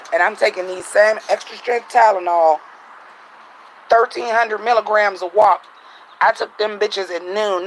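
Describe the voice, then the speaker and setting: A man vlogging at home at night, venting bluntly and profanely in a casual monologue, his voice a little raspy. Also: high voice, fairly bright tone, low-quality recording.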